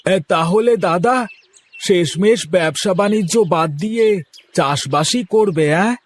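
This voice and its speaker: A man's voice: high-pitched, a little slow. A man voicing a chatty, nosy village character in a cartoon, with colloquial, questioning talk. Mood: teasing, opinionated. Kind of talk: cartoon dialogue.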